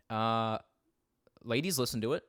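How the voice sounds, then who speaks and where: A young man co-hosting a podcast, chatting casually in a quick, rambling way and laughing often. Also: medium pitch, no room sound, studio quality. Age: young adult. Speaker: podcast co-host. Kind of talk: casual chat. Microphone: studio podcast mic.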